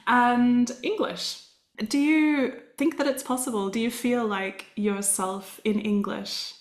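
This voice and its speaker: A woman's voice: on the high side, steady tone, slow pace. A woman hosting an interview podcast, asking curious, reflective questions and thinking aloud. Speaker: podcast host. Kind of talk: conversational interview. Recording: roomy echo with faint music.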